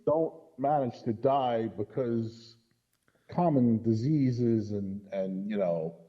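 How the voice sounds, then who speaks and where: A man's voice: medium pitch, slow, lo-fi sound. A middle-aged man talking casually about films in a blunt, emphatic way, given to swearing. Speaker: podcast guest co-commentator. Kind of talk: casual film discussion.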